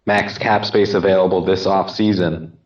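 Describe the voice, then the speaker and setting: A man's voice: low voice, fairly even intonation, moderate pace, distant mic. A man chatting casually about sports as a podcast panellist. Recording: loud, roomy, muffled, off-mic.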